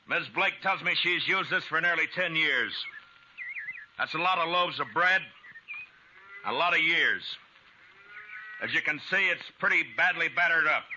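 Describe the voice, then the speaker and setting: Bright-voiced, wry old-radio western marshal. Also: male voice, on the high side. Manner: deliberate, dryly sardonic. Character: wry, resolute frontier town marshal, a grown man. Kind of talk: 1950s radio western acting. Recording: dull, hissy old radio recording.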